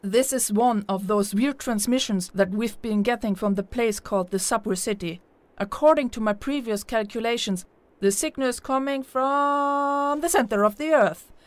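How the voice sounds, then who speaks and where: Woman acting a brisk Scandinavian forest podcaster. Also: a little high, no room sound, close to an everyday voice. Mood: excited, determined. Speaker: podcast host and creator. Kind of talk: audio drama acting.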